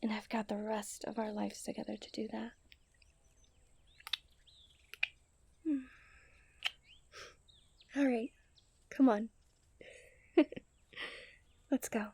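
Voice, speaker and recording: Woman acting a high, mellow, confident young princess. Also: steady tone, takes it slowly, gravelly, pauses often, a little hiss or hum. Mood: teasing, loving. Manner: soft, intimate delivery that often drops into whispers. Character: young princess, romantic, confident, strong-willed and scheming. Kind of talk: romantic ASMR roleplay acting.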